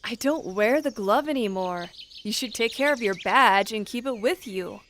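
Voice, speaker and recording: A woman's voice: fairly high pitch, moderate pace, fairly bright tone, lightly acted. Woman acting a curt anime woman warrior, noisy backdrop. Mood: scolding. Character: composed, stern, scolding woman warrior. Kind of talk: anime fan audio drama acting.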